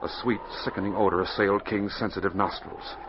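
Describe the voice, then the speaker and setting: A man's voice: lowish voice, little pitch movement, a little fast. Muffled vintage radio narrator, a man. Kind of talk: dramatic scripted 1940s radio narration. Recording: muffled, noisy 1940s radio recording.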